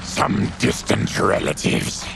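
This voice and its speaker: An actor playing a solemn, wary mutant outcast warrior in a video game, with a gruff, gravelly voice. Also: male voice, slow pace, noisy background, lightly acted. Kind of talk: cinematic video game acting.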